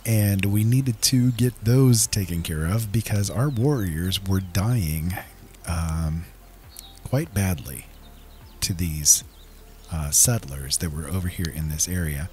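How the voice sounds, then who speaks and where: Very deep-voiced man narrating gameplay. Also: darkish tone. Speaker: gaming channel host. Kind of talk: casual let's-play commentary.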